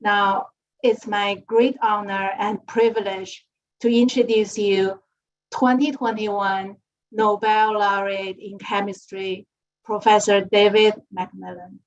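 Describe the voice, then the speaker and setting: A woman moderator reading a formal speaker introduction in a measured way. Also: mid-range voice, little pitch movement. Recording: muffled lo-fi audio.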